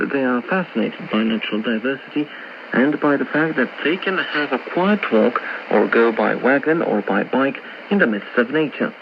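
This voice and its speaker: A man reads a scripted translation in a medium-pitched voice. He is heard through shortwave radio, with a dull tone and plenty of static. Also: creaky. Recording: noisy, muffled shortwave AM radio reception.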